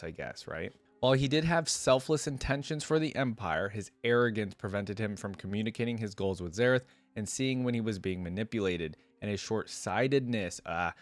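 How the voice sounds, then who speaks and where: Low-voiced man giving casual reaction commentary. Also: no echo. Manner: informal, thinks aloud with frequent asides. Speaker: reaction video creator. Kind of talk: casual commentary mixed with reading a wiki aloud.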